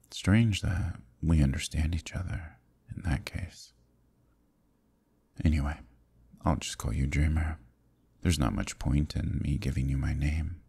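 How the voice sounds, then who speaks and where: A man playing an ancient, weary eldritch villain in an intimate ASMR roleplay, speaking in an unhurried, musing and wry way with formal wording. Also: mellow, exaggerated voice. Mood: musing, curious. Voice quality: very deep, husky, gravelly, breathy.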